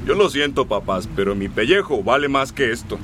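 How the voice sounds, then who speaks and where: An actor playing a bossy, callous people smuggler in a radio drama, barking brusque orders. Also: male voice, mid-range voice, big pitch swings, brisk, close to an everyday voice. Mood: harsh, hurried. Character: bossy, callous male migrant smuggler driving a truck. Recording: loud outdoor vehicle noise.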